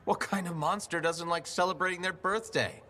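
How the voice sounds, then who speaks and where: An actor playing a confident young freelance pilot in a video game, casual and straight-talking with a dry wit. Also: male voice, high voice, steady tone, normal speed, bright, forceful tone. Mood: playful, teasing. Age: young adult. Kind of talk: scripted video game dialogue.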